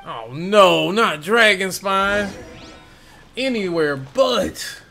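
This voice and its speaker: A man's voice: high-pitched, lively intonation, very slow, creaky, pushed and bright, faint music behind. A man giving casual gameplay commentary in a slangy, laid-back way.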